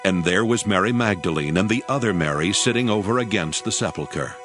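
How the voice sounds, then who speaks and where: Low-voiced solemn male scripture narrator. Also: animated, a little fast, music in the background. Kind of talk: scripted dramatized Bible narration.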